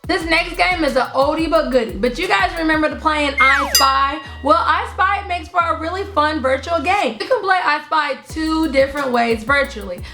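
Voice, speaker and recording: A woman hosting an online video, explaining party games in a chatty, friendly way to viewers. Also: high-pitched. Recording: echoey room. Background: music in the background.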